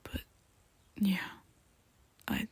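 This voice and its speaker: A woman voicing a shy, tender young male vampire best friend in an ASMR roleplay, whispering softly with hesitant, halting speech. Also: no room sound.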